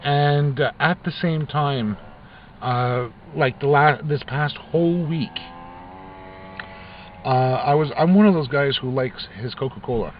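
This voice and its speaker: A man's voice: mid-range voice, a little slow, slight background noise. A middle-aged man vlogging casually, rambling with frequent restarts and fillers. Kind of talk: casual talk to camera. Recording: dull lo-fi recording with faint ambience.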